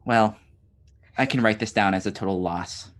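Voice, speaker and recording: A woman's voice: very deep voice, normal speed. A woman playing a tabletop game, talking casually and partly in character with a dry, offhand manner.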